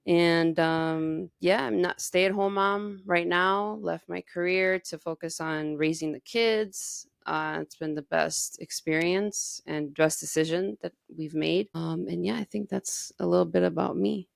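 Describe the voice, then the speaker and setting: Low-voiced woman in her thirties, creaky. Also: fairly even intonation, a little slow, hardly any pauses, no echo, clean studio sound. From United States, grew up in Texas. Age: 30s. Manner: informal, heavy use of "like". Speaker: podcast host. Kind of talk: casual conversational podcast chat.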